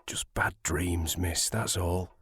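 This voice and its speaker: Deep, husky, kindly manservant. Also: male voice, steady tone, slow pace, no room sound, clean studio sound, heavily acted. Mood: reassuring. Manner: gentle, reassuring, deferential. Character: kindly, reassuring male servant of a moorland house. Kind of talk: period audio drama acting.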